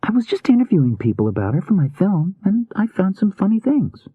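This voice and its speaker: A man's voice: high-pitched, big pitch swings, a little fast, breathy edge, no echo, narrow, tinny audio, pitched above the performer's own voice, exaggerated voice. A man voicing an inquisitive, quirky young woman with slangy, eager, casual speech in an audiobook. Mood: earnest. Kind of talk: audiobook character dialogue.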